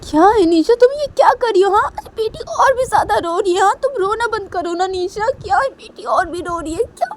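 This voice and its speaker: A woman voicing an exasperated, fretful woman who nags and hushes, repeating questions and pleas. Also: fairly high pitch, a little fast, a little hiss or hum, pitched above the performer's own voice, natural voice. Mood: agitated pleading. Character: exasperated woman scolding and hushing her crying companion.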